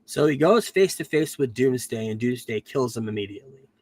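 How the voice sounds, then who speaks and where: A man recapping a TV series on a casual podcast, his voice worn from a cold he is getting over. He talks in a rambling way, filling gaps with ums and correcting himself as he goes. His pitch sits in an ordinary middle range. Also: expressive, brisk, a little mellow. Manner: rambling, self-correcting, frequent "um"s. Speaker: podcast host reviewing a TV series.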